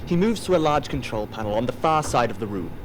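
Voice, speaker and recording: A man's voice: mid-range voice, animated, fast. Wry male narrator over faint noise. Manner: wry, theatrical. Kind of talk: scripted audio drama narration.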